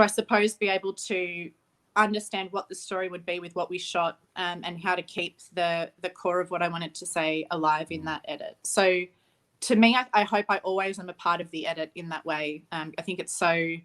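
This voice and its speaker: A woman's voice: on the low side, fairly even intonation, fairly quick, fairly bright tone. A young adult woman, a filmmaker answering interview questions thoughtfully, with frequent restarts and fillers. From Australia. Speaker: filmmaker guest.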